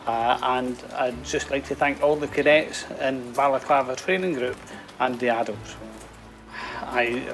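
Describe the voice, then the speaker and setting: A man's voice: medium pitch, fairly bright tone, rough recording. A man, a cadet sergeant major, giving an unpolished thank-you message for an award. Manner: plain, unpolished speech with restarts.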